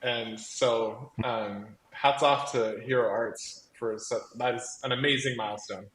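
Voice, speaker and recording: A man's voice: medium pitch, fairly even intonation, a little slow, fairly bright tone. A man with a voice that carries, chatting casually and effusively as a guest on a live stream, telling his own story with lots of "like". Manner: chatty, effusive, peppers talk with "like". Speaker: guest, crafting company co-founder. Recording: roomy echo, faint background noise.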